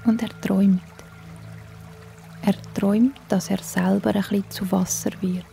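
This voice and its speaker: Slow, soft-spoken Swiss German woman storyteller. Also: medium pitch, fairly even intonation, creaky, soft background music. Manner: soft, hushed, soothing. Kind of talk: bedtime story narration.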